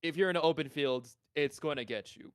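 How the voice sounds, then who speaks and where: A man co-hosting a podcast, talking casually and informally in an animated way. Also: a little high, a little fast, clean tone, no room sound, studio quality. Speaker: podcast co-host.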